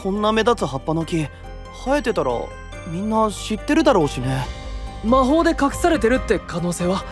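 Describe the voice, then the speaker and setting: A woman playing a bright, curious young boy knight in an anime-style voice drama, earnest and casual. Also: medium pitch, very animated, fairly quick, faint music behind, natural voice.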